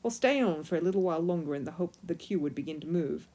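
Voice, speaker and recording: A woman's voice: lowish voice, lively intonation, fast talker, dark tone. A woman narrating a children's story expressively, as a storyteller reading from a book.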